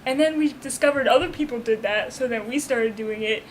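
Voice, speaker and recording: High-voiced teenage girl telling stories. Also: fairly quick, a little hiss or hum, rough recording. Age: teens. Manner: storytelling with frequent "like". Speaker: guest. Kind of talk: casual chat.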